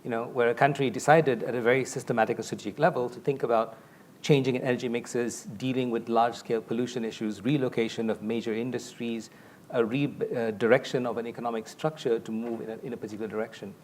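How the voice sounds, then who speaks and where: A man's voice: medium pitch, steady tone, fast, a little hiss or hum. A middle-aged man from Malaysia speaking as a panelist on a conference stage, explaining points at length through a lapel mic. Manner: expansive, explanatory, gestures a lot while talking. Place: large stage with a curtain backdrop.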